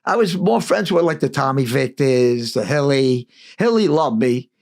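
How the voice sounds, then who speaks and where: A man's voice: mid-range voice, moderate pace, vocal fry. An older man, telling rambling stories as a guest in a casual interview and acting out old conversations. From United States. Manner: rambling, animated, acts out dialogue. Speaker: guest musician.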